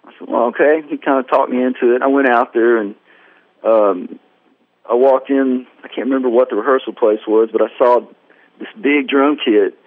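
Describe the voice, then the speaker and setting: A man's voice: medium pitch, moderate pace. Man telling a story over a phone line. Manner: rambling, self-deprecating, acts out quoted dialogue. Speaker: guest musician. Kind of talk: casual storytelling interview. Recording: dry tinny phone line.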